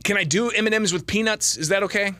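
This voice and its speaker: A man's voice: high voice, fast talker, bright, forceful tone, no room sound. An American man hosting a live radio call-in show, chatting casually into a close studio mic. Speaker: radio talk show host. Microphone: close broadcast studio mic.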